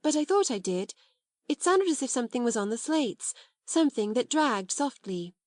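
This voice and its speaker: A woman's voice: fairly high pitch, big pitch swings, moderate pace, a little mellow, no room sound, studio quality, pitched above the performer's own voice. A woman voicing a brave, spirited young schoolgirl who speaks earnestly. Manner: earnest, fierce when stirred.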